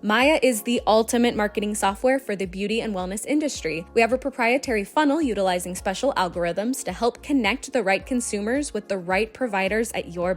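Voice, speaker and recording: A woman reading a scripted advertisement in an upbeat, persuasive way. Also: mid-range voice. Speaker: ad voice-over. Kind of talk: scripted ad read.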